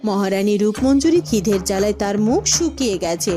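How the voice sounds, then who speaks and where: A woman's voice: mid-range voice, lively intonation, a little fast. A woman narrator reading dramatic scripted storybook narration for a cartoon.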